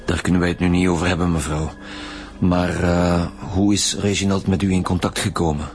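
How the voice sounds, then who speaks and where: An actor playing a dry, businesslike homicide chief inspector in a radio drama, questioning formally with a Flemish accent. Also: male voice, deep voice, level tone, normal speed, soft background music. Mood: formal, probing.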